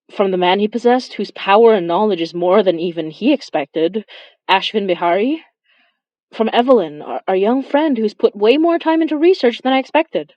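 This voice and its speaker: A woman playing a weary, affectionate young monster hunter confiding haltingly over a phone call in an audio drama. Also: medium pitch, a little mellow, dry recording, close to an everyday voice. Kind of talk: intimate audio drama monologue.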